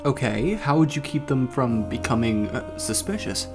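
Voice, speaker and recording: An actor playing a sadistic, gleeful financier in an audio drama, talking in a theatrical, gloating way. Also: male voice, medium pitch, lively intonation, moderate pace, music in the background, lightly acted. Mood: hesitant, curious. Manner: theatrical, gloating, often breaking into giggles and cackles. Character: gleeful sadistic male financier who tortures as a hobby.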